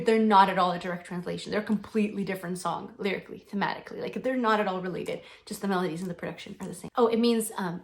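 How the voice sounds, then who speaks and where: A bubbly young woman, Slovenian her first language, talks to her camera at home in a relaxed Q&A vlog. She gushes enthusiastically and keeps starting over, with lots of ums, at an ordinary middle pitch. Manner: bubbly, gushing, frequent ums and restarts. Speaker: singer-songwriter answering fan questions. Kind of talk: casual Q&A vlog.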